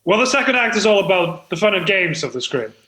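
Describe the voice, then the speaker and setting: High, bright, hesitant man reading a script. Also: sing-song, fast talker, roomy sound. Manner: hesitant, stumbling, apologetic. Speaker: amateur screenwriter reading his script. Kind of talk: reading stage directions aloud, live comedy table read.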